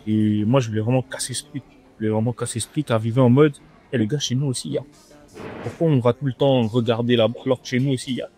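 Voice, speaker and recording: A man's voice: mid-range voice, steady tone, fast, mellow. A young man from France, a rapper guest, chatting casually and slangily over a meal in a restaurant. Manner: laid-back, slangy, repeats himself. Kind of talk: casual interview chat. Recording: music playing in the background.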